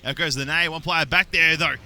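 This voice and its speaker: A young adult man with an Australian accent doing play-by-play esports commentary, getting excited on big plays. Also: on the high side, a little fast, a little hiss or hum. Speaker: esports caster.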